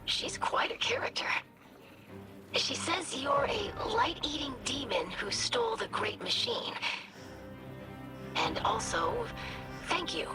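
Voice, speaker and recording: A woman voicing an earnest, gentle young alien scholar in a video game, breathy and polite. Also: high voice, very animated, slow, pauses often, in a whisper, heavily acted. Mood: amused, grateful. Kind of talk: video game character acting. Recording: voice over game music.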